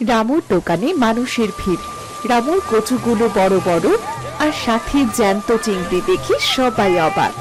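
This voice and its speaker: A woman's voice: a little high, animated, measured pace, poor audio. A woman narrating a scripted moral story in a storytelling style. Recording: music behind the voice. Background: background music.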